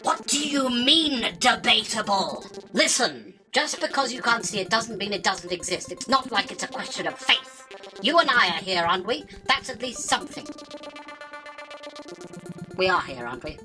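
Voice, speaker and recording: A woman's voice: mid-range voice, sing-song, moderate pace, over music, close to an everyday voice. A woman playing a bossy, irritable ageing female spider in a theatrical radio drama, haranguing and full of florid insults. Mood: insistent.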